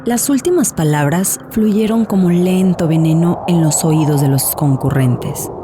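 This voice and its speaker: Lady reading a dark story, lowish voice, dramatic, music behind. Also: measured pace. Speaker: audiobook narrator.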